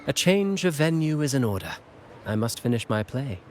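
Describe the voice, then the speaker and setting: An actor voicing a theatrical, eloquent playwright in a video game, wry and relishing ominous words. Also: male voice, medium pitch, sing-song, moderate pace, slight background noise, natural voice. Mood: wry, intrigued. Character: theatrical male playwright, brooding, wry and fond of dark tales. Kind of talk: dramatic video game voice acting.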